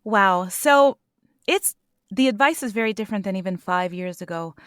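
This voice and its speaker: Woman voice pro, mid-range pitch, earnest and lively, home studio sound, no room. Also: moderate pace, clear, resonant tone, no room sound. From United States. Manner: earnest, animated, laughs often. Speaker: voice actor guest. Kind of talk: interview answers.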